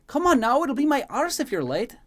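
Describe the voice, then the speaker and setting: A man's voice: sits high, sing-song, moderate pace, pitched above the performer's own voice. A man voicing a bustling, bossy older woman servant, scolding and exclaiming in a story. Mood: flustered urgency.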